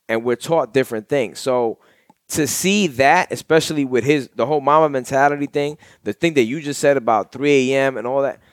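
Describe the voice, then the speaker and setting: A young man hosts a casual podcast, talking with animated emphasis and a loose, profane edge. His voice sits at an ordinary middle pitch. The sound is close and dry, with almost no room around it. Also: no room sound. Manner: animated, emphatic, swears freely. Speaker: podcast host.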